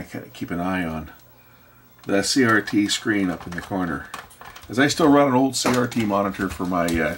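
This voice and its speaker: A man with a low voice works through a repair in his workshop, thinking out loud in a casual way. He often mutters to himself as he goes. A faint noise runs behind his voice. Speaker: electronics repairer hosting a repair video. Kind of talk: casual hands-on repair commentary, thinking aloud. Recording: faint steady background noise.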